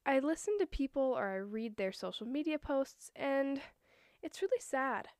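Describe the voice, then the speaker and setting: A young woman hosting her own podcast, talking in a chatty, earnest way with a voice that is hoarse from a cold. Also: high voice, lively intonation, moderate pace, darkish tone, no room sound, studio quality. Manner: earnest, chatty, a little nervous. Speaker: podcast host. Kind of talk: casual solo podcast talk.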